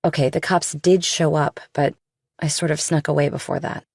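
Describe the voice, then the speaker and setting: A woman's voice: low-pitched, steady tone, moderate pace, gravelly, darkish tone, dry recording, clean studio sound. A woman playing an uneasy young finance professional in audiobook dialogue, speaking in a tentative, conversational way. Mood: uneasy, defensive.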